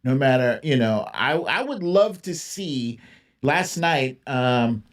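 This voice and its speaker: A man with a mid-pitched voice hosts a casual political livestream. He talks at an unhurried, slow pace in an informal, opinionated style. He stresses his points emphatically, often by saying a phrase again. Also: animated. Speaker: livestream host. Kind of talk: casual opinionated political commentary, reading and answering viewer comments.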